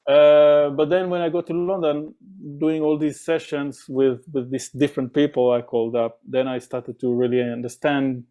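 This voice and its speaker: A man's voice: a little high, little pitch movement, moderate pace, hardly any pauses, dark tone, clean tone, dull audio. A man from Israel, a drummer, telling stories in a casual interview, with many fillers and restarts. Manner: rambling, many fillers and restarts, acts out quoted voices.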